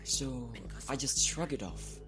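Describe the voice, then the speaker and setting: A man playing an aggrieved teenage student in a radio drama, halting and emphatic as he speaks. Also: medium pitch, lightly acted. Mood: earnest recounting. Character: aggrieved teenage male student recounting injustice. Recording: voice over clear background music.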